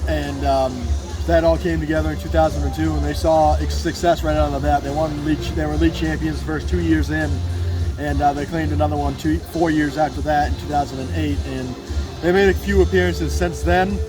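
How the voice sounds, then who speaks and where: A man's voice: fairly high pitch, steady tone, brisk, low-quality recording. A man in his twenties giving a casual, enthusiastic sports interview as a team announcer. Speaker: PA announcer and radio producer for a baseball team, interview guest. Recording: outdoor recording with music behind.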